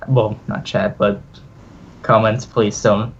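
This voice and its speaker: A man's voice: on the low side, little pitch movement, takes it slowly, lo-fi sound, slight background noise. A man acting as game master in casual tabletop role-play chat, blunt and given to swearing. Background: background music.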